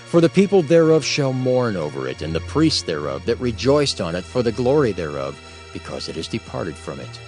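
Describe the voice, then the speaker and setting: A man narrating a dramatized reading of King James scripture in a solemn, measured manner. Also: lowish voice, very animated, a little mellow, soft background music.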